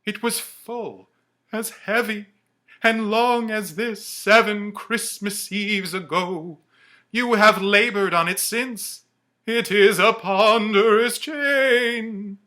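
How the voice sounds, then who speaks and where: A man voicing an anguished, remorseful ghost of a dead businessman, declaiming slowly and mournfully in a storybook reading. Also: high voice, fairly even intonation, pitched above the performer's own voice, exaggerated voice. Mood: ominous, grave. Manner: slow, loud, mournful declaiming.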